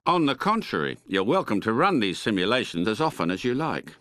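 A middle-aged British secret agent car from a cartoon. His delivery is polished and assured, very animated in pitch, with a crisp bright edge and a close, dry sound. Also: brisk, no room sound. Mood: courteous. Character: suave unflappable British spy car, middle-aged gentleman.

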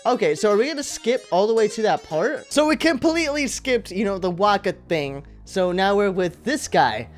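A man's voice: sits high, expressive, moderate pace, few pauses, soft background music. A man in his twenties giving casual gaming commentary into a close microphone in a small room. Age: 20s. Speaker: gaming YouTuber. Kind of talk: casual let's-play commentary. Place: small home room indoors. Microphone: close boom-arm studio microphone with headset.

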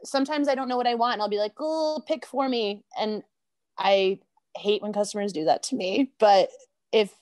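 A woman's voice: medium pitch, normal speed, dry recording, clean studio sound. A woman with an American accent, a podcast guest chatting casually and laughing often as she tells stories. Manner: laughs often, animated storytelling. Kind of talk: casual chat.

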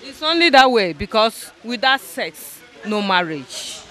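A young woman answering a street interview outdoors into a handheld mic, earnest and halting, repeating her points. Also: a little high, big pitch swings, sharp tone. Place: outdoors on a roadside. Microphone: handheld mic held by interviewer.

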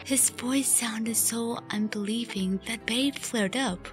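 A woman's voice: fairly high pitch, slow pace, soft background music. A woman reading scripted story narration as the narrator of a fan radio play. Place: recorded voice-over with music behind.

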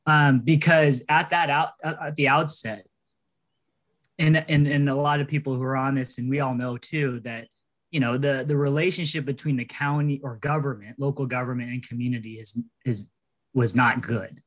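A man's voice: medium pitch, fairly even intonation, normal speed, fairly bright tone. A man in his thirties on a video call, speaking earnestly as a panelist, with frequent restarts. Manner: earnest, conversational, many restarts. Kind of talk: panel discussion. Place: indoors, small room. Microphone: laptop webcam on a video call.

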